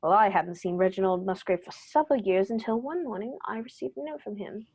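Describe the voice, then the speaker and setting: A woman playing an eager, brisk male detective in a 1930s-style radio play, theatrical and self-assured. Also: medium pitch, a little mellow, slightly rough. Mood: brisk storytelling.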